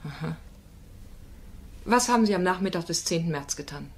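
An actress as a firm, low-voiced female lawyer. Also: animated, normal speed, a little hiss or hum, natural voice. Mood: insistent. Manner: insistent, pointed questioning. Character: firm, probing female defence lawyer, persistent and businesslike. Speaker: actor in a crime radio play. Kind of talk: German radio drama acting.

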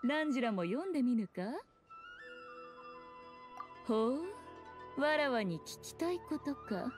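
A woman's voice: high voice, animated, a little slow, music in the background. A woman voicing an elegant, teasing fox shrine maiden with aloof, archaic, lordly speech in a Japanese anime game. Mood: playful.

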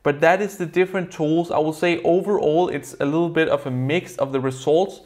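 A young man with a Danish accent reviews software from a desk in a plain room. His voice sits a little high, and his phrasing is earnest and explanatory, with a few non-native turns. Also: steady tone, brisk. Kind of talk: product review to camera.

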